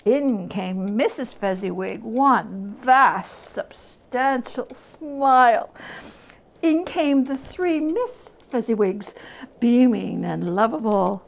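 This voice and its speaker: A woman storyteller with a fairly high voice, reading very slowly and deliberately. She shapes each phrase with expressive, dramatic emphasis, as in a classic tale read aloud.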